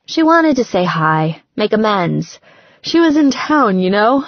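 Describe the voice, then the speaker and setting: A woman voicing an affectionate, sardonic young bride-to-be who frets, in an expressive conversational manner for an audiobook. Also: medium pitch, measured pace, no room sound, dull audio, voice pushed up. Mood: chatty, musing.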